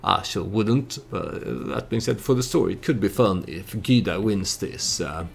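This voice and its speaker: A man giving casual storytelling commentary over a strategy game, hesitant and halting as he thinks aloud. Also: on the low side, vocal fry, breathy edge. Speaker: let's play YouTuber narrating his strategy game.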